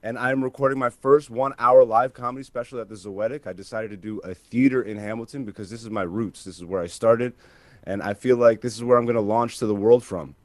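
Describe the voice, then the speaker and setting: A man in his thirties with a mid-pitched voice. He is a comedian guest who talks casually and animatedly, peppering stories with filler phrases, in a close dry recording. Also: a little fast, no echo. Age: 30s. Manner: informal, peppered with like and you know. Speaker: stand-up comedian, interview guest.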